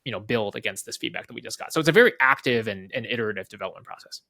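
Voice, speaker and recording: A man's voice: on the low side, expressive, rapid-fire, creaky, pushed and bright, no room sound. A man giving casual interview explanations as a guest, thinking aloud and often checking in with "right?". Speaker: head of product, interview guest.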